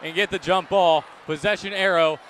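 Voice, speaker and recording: A male radio sportscaster calls live play-by-play in a high, forceful voice. He projects energetically, often pushing toward a shout, with faint game noise around him. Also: steady tone, moderate pace. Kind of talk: live play-by-play commentary of college games. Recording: clear live sports venue background noise.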